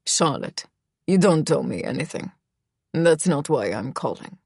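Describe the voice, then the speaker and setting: Audiobook role: female narrator playing a middle-aged king and stepdad, deep, gruff, Germanic-accented, tired but kind. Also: very animated, unhurried, a little mellow, no echo, clean studio sound, heavily acted. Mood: gruff, gentle. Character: gruff, kingly middle-aged stepfather, warm and sighing.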